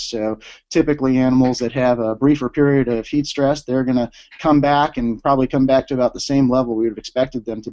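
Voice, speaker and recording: A man with a slightly scratchy voice from a cold, giving a measured online lecture as a university professor, pausing often with "uh". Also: mid-range voice, a little mellow. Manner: measured, explanatory, many "uh" pauses. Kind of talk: lecture presentation. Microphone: remote presentation line. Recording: dull lo-fi online audio.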